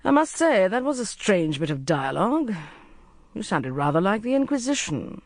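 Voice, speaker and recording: A woman's voice: medium pitch, big pitch swings, moderate pace, no room sound, pitched above the performer's own voice. An amused young man with a wry, teasing manner, as a woman reader voices him. Dry, close recording.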